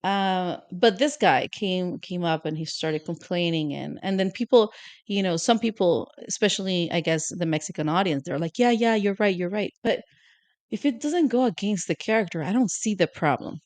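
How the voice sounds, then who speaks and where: A woman's voice: on the low side, normal speed, dry recording. Opinionated woman chatting, dry close sound. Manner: opinionated, animated, lots of "you know?". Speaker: podcast co-host. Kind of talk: casual chat.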